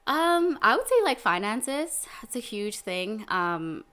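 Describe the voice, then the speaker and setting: A woman's voice: on the high side, sing-song, takes it slowly, fairly bright tone, clean tone. A woman from the Netherlands and Canada speaking candidly and informally as a guest in a casual interview. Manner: candid, informal, frequent "like" and tag questions. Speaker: interview guest, community group founder.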